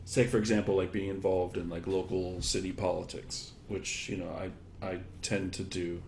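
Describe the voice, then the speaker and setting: Echoey, lower-voiced man thinking aloud. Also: level tone, a little mellow. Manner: halting, thinking aloud in loose chains of examples. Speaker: interviewed guest, education technology expert. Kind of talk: thoughtful conversational interview answers. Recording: echoey room, clear background noise.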